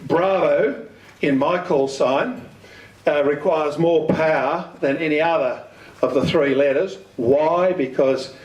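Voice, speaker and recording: An older man giving an instructional talk, deliberate and emphatic, putting questions to his listeners. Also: fairly high pitch, a little mellow, highs cut off. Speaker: speaker giving an amateur radio talk. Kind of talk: instructional lecture with questions to the audience. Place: community centre meeting room. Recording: echoey hall, distant microphone.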